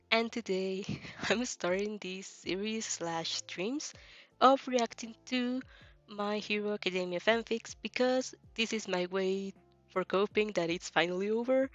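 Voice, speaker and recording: A woman's voice: medium pitch, fairly bright tone, dull audio. Leisurely female streamer over background music. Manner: hesitant, searching for words, giggly. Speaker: streamer hosting a fanfic reaction channel. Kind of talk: casual livestream chat. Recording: music playing behind the voice.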